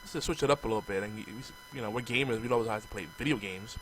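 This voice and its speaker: Brief: male podcast co-host, casual and chatty, hoarse from a coming cold, faint hiss under the audio. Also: medium pitch, racing, fairly bright tone, rough recording. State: hoarse, losing his voice. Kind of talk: casual chat.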